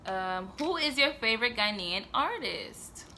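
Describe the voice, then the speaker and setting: A woman's voice: a little high, very animated, a little slow, noisy background. A woman co-hosting a vlog, asking short, easygoing interview questions off camera. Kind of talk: casual interview.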